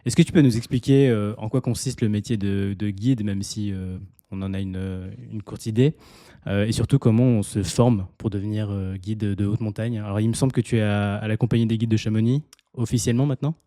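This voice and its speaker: A man's voice: lowish voice, steady tone, brisk, warm tone, no room sound, clean studio sound. A man hosting a podcast interview, talking with many hesitations and restarts. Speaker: podcast host. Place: home near the mountains.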